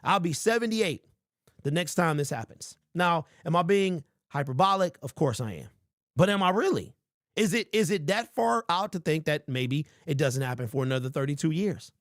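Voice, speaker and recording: A man in his forties hosting a casual sports talk show, reading viewer comments and rambling with lively emphasis. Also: on the high side, moderate pace, dry recording, studio quality. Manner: animated, rambling, calls listeners bro. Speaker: sports podcast host.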